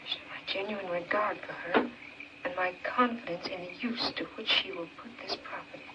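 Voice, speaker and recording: A woman's voice: on the low side, moderate pace, close to an everyday voice. Actress as an earnest young woman, very noisy film sound. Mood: indignant. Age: young adult. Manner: well-spoken, polite. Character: earnest young woman, polite granddaughter, quietly indignant. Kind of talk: 1940s film drama acting.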